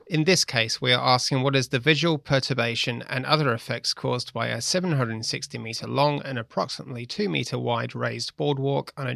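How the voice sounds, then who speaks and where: An Australian man reading a resident's letter aloud from a local newspaper in a measured, steady way. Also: medium pitch, vocal fry, no echo, studio quality. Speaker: newspaper reader.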